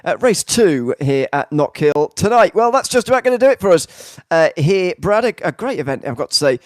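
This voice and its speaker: A man's voice: on the high side, sing-song, normal speed, no echo, clean studio sound. A man hosting and commentating a sim racing broadcast. He interviews a driver and wraps up the night, rambling a little and using many fillers. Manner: rambling, filler-heavy, enthusiastic wrap-up.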